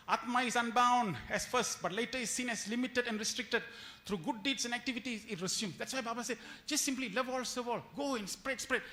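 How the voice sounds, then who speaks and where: High-pitched, bright-voiced man preaching. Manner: animated storytelling with acted-out quotes and rhetorical questions; repeats phrases for emphasis. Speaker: spiritual retreat speaker. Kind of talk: devotional lecture to an audience.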